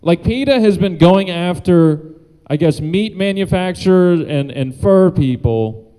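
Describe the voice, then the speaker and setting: Slow, dark-toned man doing stand-up. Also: high voice, rough recording. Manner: laughs at his own jokes, loose rambling delivery. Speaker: stand-up comedian and guest host. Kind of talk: stand-up comedy set. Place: comedy club stage.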